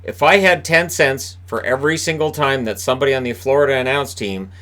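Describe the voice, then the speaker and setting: A man hosting a casual solo hockey recap from a room in his home, with a booming voice, talking in a rambling, chatty way with lots of fillers and restarts. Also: fairly quick, a bit bright. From Canada. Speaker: hockey commentator and video host.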